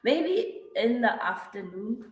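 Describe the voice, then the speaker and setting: A woman with a hoarse, raspy voice from the flu, patiently explaining grammar to a student in a one-to-one lesson and checking in often. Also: on the high side, big pitch swings, slow, echoey room. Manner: patient explaining with frequent checks like "right?" and "mm-hmm". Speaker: English tutor.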